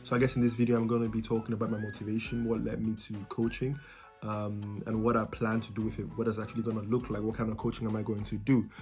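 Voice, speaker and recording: A man's voice: lowish voice, monotone, fast talker, darkish tone, faint music behind. A young man from Cameroon who talks casually to his viewers about coaching students, friendly, with many ums and repeated words. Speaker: YouTuber and student life coach.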